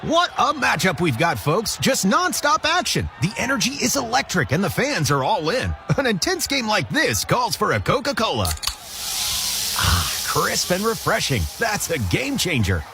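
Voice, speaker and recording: A man reading an ad as excited mock sports commentary, hyped and punchy. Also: high voice, moderate pace, vocal fry, music in the background.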